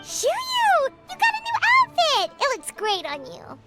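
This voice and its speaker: A woman voicing a tiny, excitable fairy companion in a video game, bubbly and eager. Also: very high-pitched, a little slow, exaggerated voice. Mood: chirpy. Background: game music.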